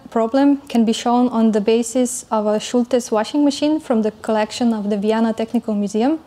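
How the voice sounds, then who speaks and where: A woman giving an academic conference presentation in English, speaking carefully with frequent pauses and fillers. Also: a little high, warm tone. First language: not German.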